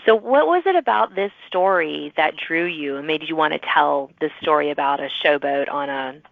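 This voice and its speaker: A woman's voice: deep voice, lively intonation, normal speed, creaky, no echo. A woman hosting a casual, chatty podcast interview with authors in an enthusiastic, encouraging manner. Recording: thin phone-like sound.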